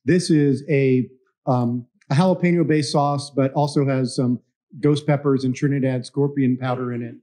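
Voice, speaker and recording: Dark-voiced man presenting hot sauces. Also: medium pitch, steady tone. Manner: brisk, informal, explains each sauce. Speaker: moderator. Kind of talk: hosting a hot sauce challenge.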